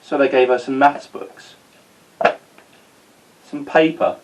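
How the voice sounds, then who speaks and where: A man's voice: mid-range voice, fairly even intonation, slow, far from the mic, background noise. A man reading a children's story aloud as storyteller. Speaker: storyteller, school staff reader.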